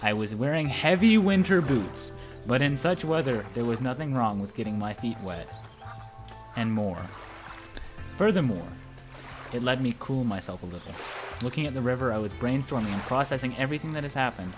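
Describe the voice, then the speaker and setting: A man's voice: mid-range voice, expressive, moderate pace, over music. A man casually reading visual novel narration aloud as part of a group playthrough.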